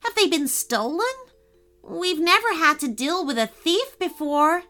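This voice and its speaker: A panicky male elf from a children's storybook, performed by a woman. The voice is high, bright and whiny, full of melodramatic wailing. Faint music plays behind. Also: very animated, unhurried, full character voice. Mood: worried, gloomy.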